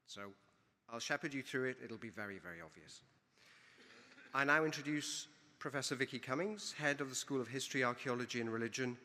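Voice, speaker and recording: Bright-voiced man in a hall. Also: mid-range voice. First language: Welsh. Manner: playful, theatrical and eloquent, switching between Welsh and English. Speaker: university provost presiding over a graduation. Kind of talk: ceremonial welcome speech. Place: large ceremony hall. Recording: long hall reverb.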